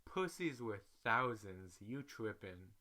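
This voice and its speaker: A man voicing a brash, foul-mouthed young woman in a story, loud, emphatic and indignant. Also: fairly low pitch, big pitch swings, slow, heavily acted. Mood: bossy.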